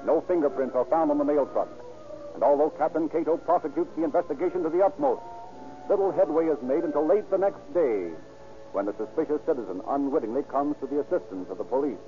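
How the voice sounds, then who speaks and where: A man's voice: on the high side, fast. A man giving formal, dramatic scripted narration in the style of an old-time radio announcer. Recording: tinny old radio recording, music behind.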